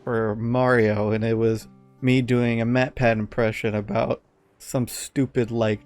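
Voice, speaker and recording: A man's voice: mid-range voice, little pitch movement, measured pace, darkish tone, soft background music. A young man co-hosting a podcast in an informal, slightly sardonic conversational style. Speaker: podcast co-host. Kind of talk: casual podcast chat.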